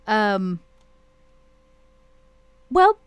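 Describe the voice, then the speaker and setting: Lively woman playing a tabletop game. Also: mid-range voice, extremely slow, clear, resonant tone, dry recording. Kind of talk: casual roleplay chat.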